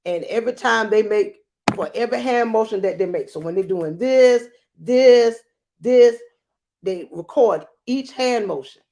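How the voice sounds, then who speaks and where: A woman's voice: fairly high pitch, unhurried, mellow. A woman speaking as a teacher, explanatory and emphatic, giving a lesson and reading a storybook. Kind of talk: read-aloud lesson and storybook narration.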